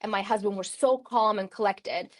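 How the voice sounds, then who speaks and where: A woman's voice: medium pitch, fairly even intonation, normal speed. A woman in her thirties from Turkey, a podcast guest telling stories casually, with lots of "like" fillers and frequent laughs. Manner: chatty, many "like" fillers, laughs often. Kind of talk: casual conversational storytelling.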